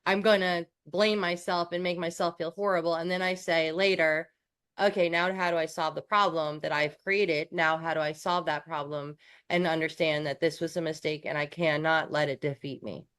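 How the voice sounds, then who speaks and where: A woman from New York co-hosts a coaching podcast in a relaxed, candid chat. Her voice sits somewhat low, and she often restarts a thought or pokes fun at herself. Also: normal speed, clear, resonant tone.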